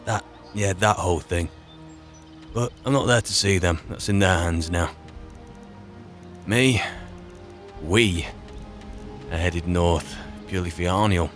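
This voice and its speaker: A man roleplaying a musing, wry adventurer in a fantasy game, speaking in a halting, dry, reflective way. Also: low voice, faint music behind. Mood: musing, planning. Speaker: player narrating a roleplay. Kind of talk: in-character game roleplay monologue.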